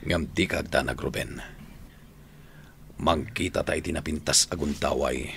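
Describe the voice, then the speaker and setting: A man acting an older prisoner in an Ilocano radio drama, calmly and measuredly counselling a younger inmate. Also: extremely low pitch, measured pace, vocal fry, a little hiss or hum, full character voice. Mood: reassuring, explaining. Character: older male prisoner, calm fatherly advisor to younger inmate.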